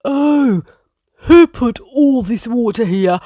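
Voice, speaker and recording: A woman's voice: a little high, animated, takes it slowly, mellow, no room sound, voice pushed up. A woman voicing a good-natured, bumbling father pig with a hearty, mild manner. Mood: surprised.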